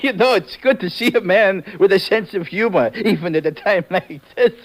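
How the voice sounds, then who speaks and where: An actor playing a sly, greedy broker with a German accent in a 1950s radio drama, suave, smug and formal. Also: male voice, high voice, normal speed. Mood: amused, oily.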